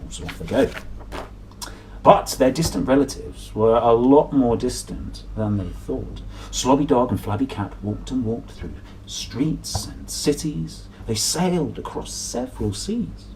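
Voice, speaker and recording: Guy reading a kids book at his desk, super animated, dramatic, faint room noise. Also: medium pitch, low-quality recording. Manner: playful, dramatic, drawn-out emphasis. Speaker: presenter reading picture books aloud. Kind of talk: animated children's story reading. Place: small room at home, at a desk.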